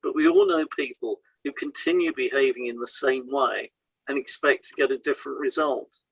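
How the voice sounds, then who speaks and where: A man co-hosting an educational podcast, reading scripted explanations in a deliberate, instructive way. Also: mid-range voice, gravelly, slightly rough, no echo, low-quality recording. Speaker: podcast co-host, hypnotherapist. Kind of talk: scripted podcast discussion, read aloud.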